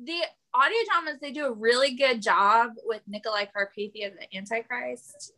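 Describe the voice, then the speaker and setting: A woman's voice: fairly high pitch, normal speed, sharp tone. A woman in a casual podcast chat, eager to jump in and prone to wander off on tangents.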